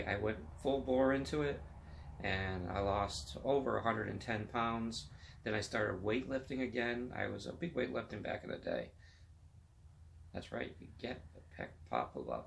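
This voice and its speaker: A man's voice: on the low side, gravelly, a bit bright, a little hiss or hum. A man in his fifties hosting a casual vlog, chatting enthusiastically about sports and fitness in a motivational way. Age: 50s. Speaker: vlog host.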